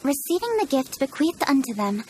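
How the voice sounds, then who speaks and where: A woman's voice: high voice, big pitch swings, normal speed, a little mellow, a little hiss or hum. A woman playing a formal, decisive young noblewoman official in a video game, speaking articulately and with authority. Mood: solemn reading.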